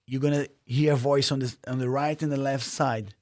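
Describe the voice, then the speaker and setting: Man, Brazilian Portuguese accent, native Portuguese speaker, halting earnest talk, medium pitch, dry close sound. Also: steady tone, darkish tone, dry recording. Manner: earnest, halting, many fillers and restarts. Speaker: podcast guest. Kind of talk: casual interview.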